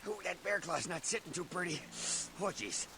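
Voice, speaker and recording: High-voiced, flustered security guard. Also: male voice, animated, moderate pace, creaky. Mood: startled, flustered. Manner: flustered, stammering, folksy officiousness. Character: startled, bumbling, officious male security guard. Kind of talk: video game character acting. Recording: noisy ambience behind the voice.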